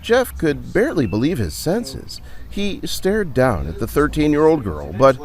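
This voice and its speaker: Animated male narrator of an audio drama. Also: on the high side, a little slow.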